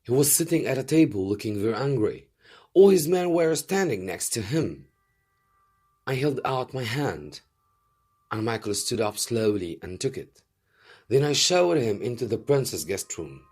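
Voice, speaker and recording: A man reading a story aloud as a teacher would, in an expressive and deliberate way for learners. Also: medium pitch, slightly rough. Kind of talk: scripted story narration.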